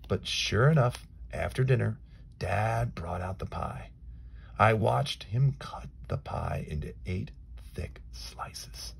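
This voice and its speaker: A man reads a children's storybook with a very raspy, low voice. His delivery swings widely in pitch, dramatic and expressive, moving between hushed and emphatic phrasing. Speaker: storybook reader.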